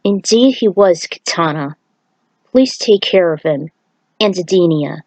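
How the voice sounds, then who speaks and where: A woman's voice: fairly low pitch, expressive, measured pace, darkish tone, no room sound. A woman voicing a solemn, fatherly male thunder god in a grave, formal story farewell. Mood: solemn, tender.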